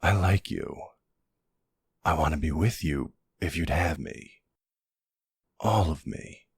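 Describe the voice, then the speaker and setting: Man acting a very deep, gravelly mafia boyfriend. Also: expressive, slow pace, dry recording, clean studio sound, full character voice. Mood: teasing, fond. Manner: playful, teasing, smooth-talking with tough-guy swagger. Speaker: voice actor in an audio roleplay. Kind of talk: intimate ASMR boyfriend roleplay acting.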